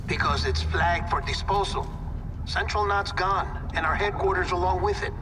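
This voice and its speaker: A man's voice: high-pitched, steady tone, moderate pace, noisy background, natural voice. A man acting a stern, pragmatic support officer in a video game, firm and matter-of-fact. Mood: grim, firm. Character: stern, pragmatic male support officer giving grim orders over comms. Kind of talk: video game cutscene dialogue. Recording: distant, reverberant, loud music behind.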